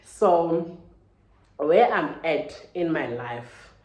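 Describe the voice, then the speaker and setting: A woman's voice: low-pitched, a little mellow. A woman in her thirties giving a casual, earnest motivational talk, often adding "right?" and "you know". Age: 30s. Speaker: vlogger. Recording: roomy echo.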